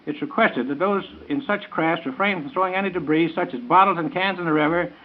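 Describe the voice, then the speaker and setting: A man's voice: a little high, fast, slight background noise. An American man making scripted announcements in the style of 1930s radio. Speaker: event announcer on a radio show. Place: radio studio. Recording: tinny, narrow 1930s radio broadcast.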